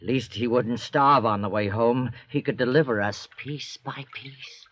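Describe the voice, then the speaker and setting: A man's voice: medium pitch, fairly bright tone, close to an everyday voice. Fretful convict forger played by a man, vintage radio. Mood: uneasy, brooding. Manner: fretful, halting. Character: anxious, brooding convict forger on the run. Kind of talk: old-time radio drama acting. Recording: dull, hissy old radio recording.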